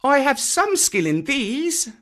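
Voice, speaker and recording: A man's voice: very high-pitched, very animated, takes it slowly, fairly bright tone, pitched above the performer's own voice, full character voice. A man reading a storybook aloud, voicing a small jungle boy who speaks in formal, earnest old-style phrases.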